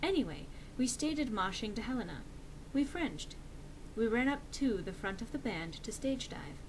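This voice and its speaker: A woman giving a dramatic, comedic reading of fan fiction, theatrical, emphatic and deliberately earnest. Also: fairly high pitch, sing-song. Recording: noisy amateur home recording.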